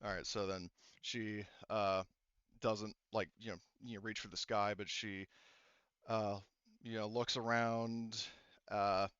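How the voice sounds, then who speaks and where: Halting, smooth-voiced man running a tabletop game. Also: lowish voice, flat delivery, a bit bright, no echo. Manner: halting, hedging, casual. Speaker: game master. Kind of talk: improvised tabletop game narration. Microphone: online voice call. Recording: dull lo-fi voice-chat audio.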